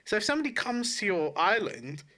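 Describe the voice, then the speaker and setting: Male games podcast co-host, bright voice on the higher side, blunt, informal, jokey chat. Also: big pitch swings, brisk. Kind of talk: casual chat about video games.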